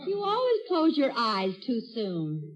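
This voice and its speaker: High-voiced wisecracking woman friend. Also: wildly swooping pitch, measured pace, heavily acted. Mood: teasing. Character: wisecracking, teasing adult female friend. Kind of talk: 1940s radio comedy acting. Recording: muffled vintage radio recording.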